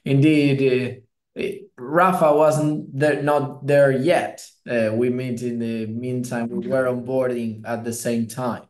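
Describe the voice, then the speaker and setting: Man, measured slow pace, echoing room, self-introduction. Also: mid-range voice, flat delivery, a little mellow. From Argentina. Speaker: attorney, team leader. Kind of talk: self-introduction on video call. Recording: echoey room on online call.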